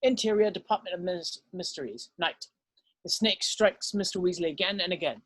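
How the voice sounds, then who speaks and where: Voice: medium pitch, moderate pace, a bit bright. A narrator reading screenplay stage directions as scripted narration, now and then stumbling over the text.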